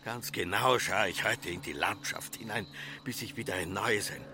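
A man's voice: fairly low pitch, normal speed, background noise, close to an everyday voice. A middle-aged actor with an Austrian accent playing an obsessed, embittered farmer father in a literary radio drama, brooding and incantatory in manner. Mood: rapt musing.

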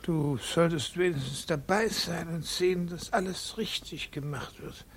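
An actor playing a cold, health-obsessed husband in a 1950s German horror radio play, speaking with husky, fretful deliberation. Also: male voice, on the high side, measured pace. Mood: fretful, reproachful. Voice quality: husky, creaky.

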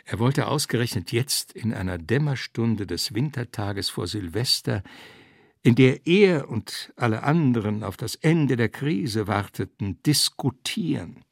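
A man narrating literary prose in a deliberate, emphatic way, breaking sentences into short phrases. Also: medium pitch, expressive, creaky, no echo, studio quality.

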